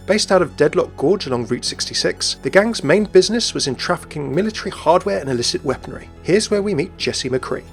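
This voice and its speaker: A man delivers scripted narration as a video essayist. His pace is brisk and his voice sits a little high. Light music runs behind him.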